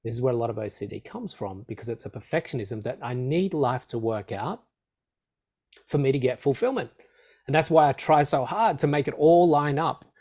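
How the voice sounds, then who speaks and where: A man with a croaky, tickly throat explaining ideas as a meditation teacher, building emphatic, repetitive lists. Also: medium pitch, a little mellow. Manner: emphatic, repetitive, list-like phrasing. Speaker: meditation teacher, podcast guest. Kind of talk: explanatory interview talk.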